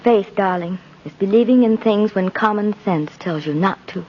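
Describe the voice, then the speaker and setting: Gentle mother played by a woman, mid-pitched, earnest and reassuring, faintly hissy 1950s radio drama. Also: moderate pace, close to an everyday voice. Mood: tender, reassuring. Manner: tender, reassuring. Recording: muffled, hissy old radio broadcast.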